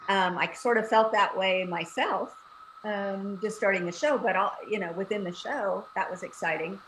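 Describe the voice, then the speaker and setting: An older woman recounts memories as an interview guest, her voice sitting at an ordinary middle pitch. She tells her stories in a lively, animated way and laughs often. She is heard over a video call. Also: moderate pace, slight background noise, rough recording. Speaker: guest actress. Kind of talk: casual interview chat.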